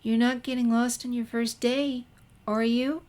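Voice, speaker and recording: A gentle, timid teenage girl in a storybook, played by a woman narrator. She speaks shyly in a high, girlish voice. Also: little pitch movement, a little slow, close to an everyday voice. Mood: sheepish. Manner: timid, confessional.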